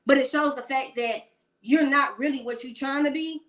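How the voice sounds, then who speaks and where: A woman's voice: high-pitched, moderate pace. A woman in her late thirties giving blunt, sassy celebrity gossip commentary in a rambling rant. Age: 30s.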